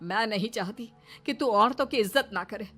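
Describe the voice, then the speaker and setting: Middle-aged mother, played by a woman: firm, admonishing, protective, medium pitch, moral-tale drama with light music. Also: moderate pace, fairly bright tone, close to an everyday voice. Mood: stern, indignant. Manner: emphatic, admonishing. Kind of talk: Hindi moral story dramatization. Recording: music behind the voice.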